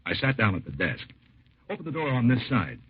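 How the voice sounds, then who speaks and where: An actor playing a plainspoken, talkative used car dealer in a 1950s radio drama, with a husky voice and folksy, clipped sentences. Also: male voice, mid-range voice, very animated, brisk. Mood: chatty, rueful. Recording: tinny, muffled old radio recording.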